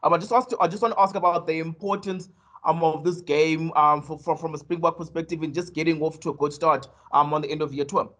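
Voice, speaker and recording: A male reporter putting a question to a player at a press conference. His voice sits somewhat high for a man. He hesitates and fills his gaps with ums as he works through the question. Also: fairly even intonation, quick pace, fairly bright tone. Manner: hesitant, filler-heavy questions. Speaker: journalist.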